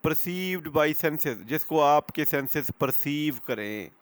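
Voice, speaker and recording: A man's voice: a little high, animated, slow pace. A man with a Pakistani accent lecturing as a law teacher, explaining step by step, repeating key phrases and mixing in English terms. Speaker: advocate giving law lectures. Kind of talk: instructional lecture with examples.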